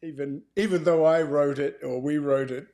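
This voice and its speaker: A man talking informally as an author and co-host, explaining things in a measured, halting way. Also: fairly high pitch, fairly even intonation, dark tone.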